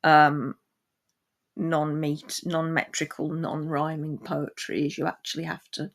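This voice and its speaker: A woman with a very low-pitched voice, sharing poetry and book talk. She speaks very slowly and informally, as a reader among friends. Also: flat delivery, vocal fry. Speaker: guest poetry reader and discussant. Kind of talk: poem reading and informal book chat.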